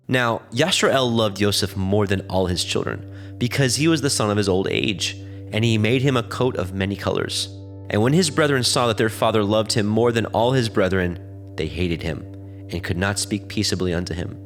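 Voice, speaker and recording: A man with a fairly low voice narrates scripture. His reading is measured and solemn, like formal storytelling. Soft guitar music plays faintly behind him.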